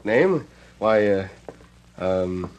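An actor playing a middle-aged, plain-spoken cowhand and ex-convict in an old Western radio drama, halting and humble. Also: male voice, sits low, expressive, exaggerated voice. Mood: hesitant. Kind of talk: 1940s-1950s Western radio drama acting. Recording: dull lo-fi old radio recording.

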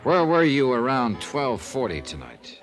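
An actor playing a terse, businesslike detective who narrates in a clipped, dry manner in a 1950s radio drama. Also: male voice, mid-range voice, huge pitch swings, a little slow, faint music behind.

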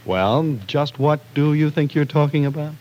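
A man's voice: fairly high pitch, normal speed, darkish tone, muffled recording, close to an everyday voice. An actor playing a young woman's skeptical, protective brother in an old-time radio drama, blunt and doubting.

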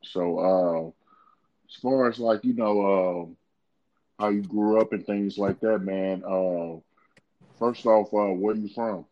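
A man hosting a podcast asks casual interview questions haltingly, with lots of "uh" fillers, and calls his guest bro. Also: fairly low pitch, muffled recording. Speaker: podcast host.

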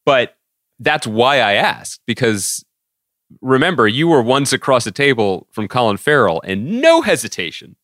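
A man's voice: medium pitch, big pitch swings, a little slow, fairly bright tone, no echo, clean studio sound. An American man co-hosting a podcast, bantering casually in a wry, mock-serious, wordy way. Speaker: podcast co-host.

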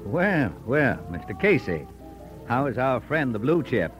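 An actor playing a gentle, worried crusading husband in an old radio drama. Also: male voice, medium pitch, big pitch swings, measured pace, over music. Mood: wary.

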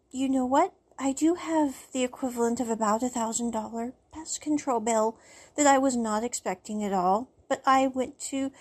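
A woman telling a casual personal story to the camera, chatty and animated, with frequent laughs. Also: fairly high pitch, husky. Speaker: vlogger.

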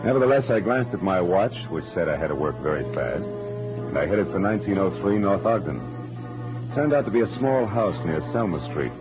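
A man's voice: low-pitched, big pitch swings, normal speed. An American man playing a wry, world-weary private detective in a classic radio noir drama, with clipped delivery. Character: wry, hardboiled male private detective narrating his case. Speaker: lead actor. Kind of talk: 1940s-50s American radio noir acting. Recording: muffled old radio recording with music.

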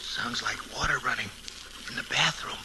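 An actor playing a wisecracking, easygoing young husband in 1940s radio mystery-comedy style, with a breezy, joking delivery on a noisy vintage broadcast recording. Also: male voice, mid-range voice, normal speed, close to an everyday voice. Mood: puzzled, breezy.

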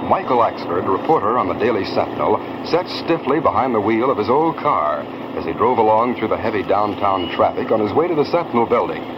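A man's voice: on the low side, very animated, a little fast, few pauses, background noise. A man narrating a 1940s radio drama as announcer, dramatic and emphatic. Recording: dull vintage radio recording. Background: music.

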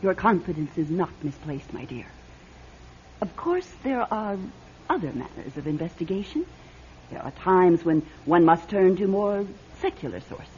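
An actress playing a scheming middle-aged fortune-teller in a classic radio drama, grandiose and coaxing in manner. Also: female voice, lowish voice, animated, normal speed, darkish tone, a little hiss or hum, lightly acted. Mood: ingratiating. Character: theatrical, scheming female fortune-teller and fake medium. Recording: muffled vintage radio, music behind.

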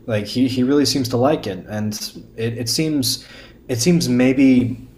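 A man's voice: mid-range voice, a little slow, a little mellow. A man speaking as a podcast guest in casual, enthusiastic discussion, stumbling and repeating words as he starts.

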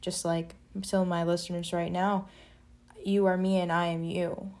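Brief: young woman podcaster, low and level delivery, reflective spiritual musing. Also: little pitch movement, a little slow, a little mellow. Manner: searching for words, spiritual musing. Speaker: podcast host. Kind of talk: reflective solo podcast monologue.

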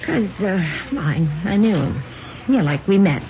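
An actress playing an excitable, breezy editor and former hippie in a naturalistic radio drama. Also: female voice, medium pitch, a little slow. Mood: breezy, defensive. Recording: dull, faintly noisy old radio broadcast.

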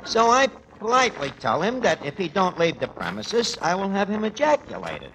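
A man's voice: sits high, sing-song, normal speed, a bit bright, heavily acted. A man playing a garrulous, streetwise tavern manager with a New York accent who mangles big words, in a 1940s radio comedy style. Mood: indignant storytelling. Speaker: comic lead of a radio comedy. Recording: noisy old 1940s radio recording.

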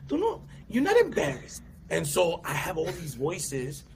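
A man's voice: a little high, unhurried, vocal fry, slight background noise. A man in his thirties from the United States, a comedian and actor telling stories as an interview guest, often acting out quotes and voices. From USA. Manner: animated storyteller, acts out voices and quotes. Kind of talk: casual interview chat.

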